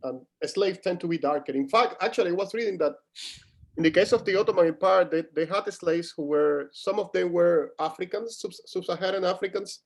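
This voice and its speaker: A man's voice: high voice, brisk, creaky. A man from Cuba, a native Spanish speaker with a Cuban accent, talking as an academic guest in a scholarly conversation, often searching for words.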